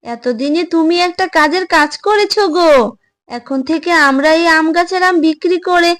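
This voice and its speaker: Nagging, excitable cartoon tigress wife, high female voice, full of exclamations woman performer. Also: moderate pace. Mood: surprised, delighted. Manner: exclaiming, wifely teasing. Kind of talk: Bangla cartoon fable voice acting.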